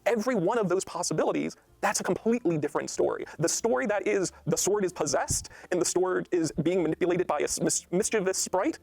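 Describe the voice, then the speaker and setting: A man with a slightly high, creaky voice talks as a game designer. He explains expansively, piling up examples, in a close, dry-sounding recording. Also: quick pace, few pauses, a little mellow, no room sound. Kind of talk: enthusiastic explanation in a panel discussion.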